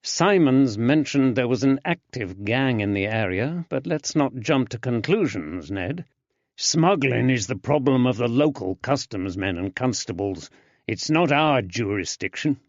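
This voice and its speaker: Calm measured detective guy, narrator doing him, lively pitch, dull lo-fi audio. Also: no room sound. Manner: measured, even-tempered. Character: calm, shrewd male detective, patient and measured. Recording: dull lo-fi, dry.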